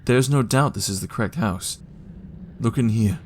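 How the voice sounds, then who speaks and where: An actor as a young Victorian gentleman with a medium-pitched voice. He is earnest, determined and direct in a period audio drama. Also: normal speed, a little hiss or hum, lightly acted. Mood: urgent.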